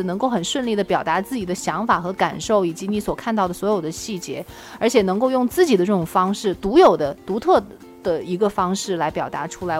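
A woman co-hosting a podcast in a casual, effusive, conversational way. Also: medium pitch. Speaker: podcast co-host. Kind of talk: casual chat.

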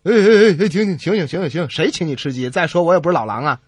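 Male comedy co-host, raspy-edged voice, bouncy intonation, playful joke delivery, close and dry. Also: on the high side, lively intonation, quick pace, dry recording. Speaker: comedy radio show co-host. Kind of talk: playful scripted joke-telling banter.

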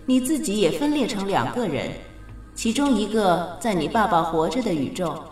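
A woman's voice: medium pitch, expressive, far from the mic, soft background music, lightly acted. Woman as an echoing, patient goddess of fate. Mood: explanatory. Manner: measured, explanatory, gently authoritative. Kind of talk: radio drama acting. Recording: very echoey reverberant studio effect.

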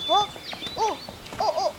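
A woman's voice: very high-pitched, noisy background. A woman playing a simple, obedient little boy apprentice in an audio drama, childlike and artless. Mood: meek, eager. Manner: slow, artless, babbling with many interjections. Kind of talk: Chinese audio drama acting. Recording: outdoor birdsong and clicking noises behind.

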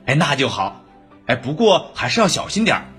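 A man's voice: on the high side, sing-song, fairly quick, a bit bright, faint music behind. An actor playing a polite, devoted young male office worker in a radio drama, considerate and friendly. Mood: friendly, concerned. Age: young adult.

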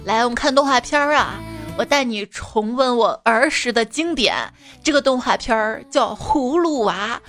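A woman's voice: a little high, normal speed, fairly bright tone. A woman speaking as a mother and comic storyteller, in playful, coaxing scripted chat addressed to a child. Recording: background music under the voice.

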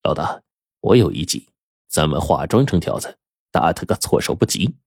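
A man voicing a cunning, soft-spoken male gang strategist in a spoken story. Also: extremely low pitch, sing-song, moderate pace, husky, no room sound, studio quality, voice pushed down, full character voice. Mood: scheming. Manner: hushed, sly.

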